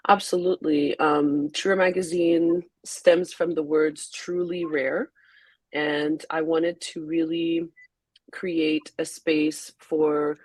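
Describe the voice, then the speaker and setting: A Haitian-born woman whose first language is French speaks slowly and softly, with many pauses and ums. She is a magazine founder being interviewed in a webinar. The video-call sound is dry, with no room echo. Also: sits low, flat delivery, breathy edge. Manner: soft-spoken, unhurried, with frequent ums.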